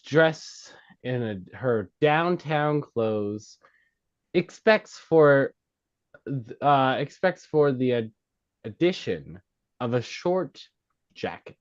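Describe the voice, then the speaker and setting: A man narrating, reading out screenplay stage directions at a table read. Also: medium pitch. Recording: dull, lo-fi sound.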